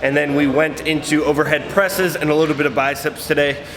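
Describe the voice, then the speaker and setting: A man's voice: a little high, fairly even intonation, a little fast, noisy background. A young man hosting a fitness vlog, giving casual, filler-heavy, upbeat gym instruction. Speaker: fitness vlogger. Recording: roomy gym with music behind.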